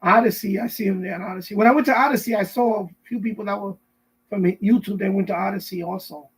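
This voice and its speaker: A middle-aged man with a husky voice chats casually on a live stream, rambling and repeating himself as he answers viewers. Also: high-pitched, fairly even intonation, fast talker, a little hiss or hum. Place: basement at home.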